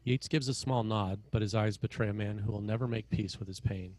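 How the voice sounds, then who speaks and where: A man's voice: lowish voice, fairly even intonation, a little fast, darkish tone. A man reading scene headings and stage directions as the narrator of a screenplay table read.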